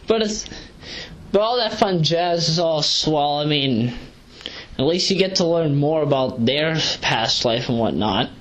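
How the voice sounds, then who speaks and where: A woman's voice: deep voice, lively intonation, a little slow, slight background noise, poor audio. Sleep-deprived, hyper woman rambling casually. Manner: digressive, self-correcting, slangy. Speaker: video reviewer, vlogger. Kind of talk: casual rambling audio review. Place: pacing around while recording.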